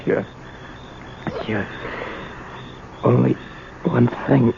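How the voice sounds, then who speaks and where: Through a dull, hissy old radio broadcast comes a dying ex-convict father. He is gravelly and weak, with terse, rough street speech. He sounds worn down and full of regret, as in a vintage radio play. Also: medium pitch, level tone, extremely slow, full character voice. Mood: resigned. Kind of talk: old-time radio drama acting.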